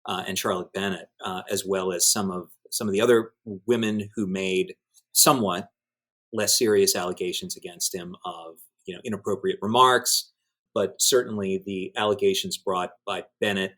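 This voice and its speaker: A man giving conversational news analysis as an editor, in a measured, wordy way with deliberate emphasis on key words. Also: deep voice, steady tone, a bit bright. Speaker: newspaper editor-in-chief, commentator.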